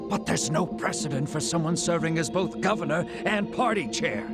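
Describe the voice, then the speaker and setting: A man's voice: a little high, animated, normal speed, over loud music. An actor playing a male prime minister who is wary and easily flustered.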